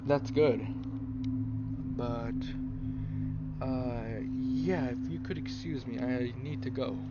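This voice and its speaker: A man's voice: mid-range voice, slow pace, natural voice. A man playing the uneasy, polite protagonist of a visual novel, speaking hesitantly and flustered. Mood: flustered, apologetic. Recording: muffled lo-fi mic with loud noise.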